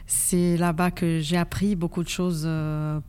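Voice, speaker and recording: A woman's voice: lowish voice, little pitch movement, unhurried, darkish tone, smooth. A Brazilian woman in her forties, a lawyer whose first language is Portuguese, answering in French in a friendly, hesitant way. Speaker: intellectual property lawyer. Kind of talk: podcast interview.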